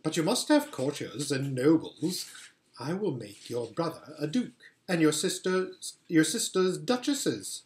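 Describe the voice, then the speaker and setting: A man's voice: fairly high pitch, very animated, unhurried. A man voicing a scheming, regal witch queen from a storybook, sweet-talking and imperious. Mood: persuasive, firm.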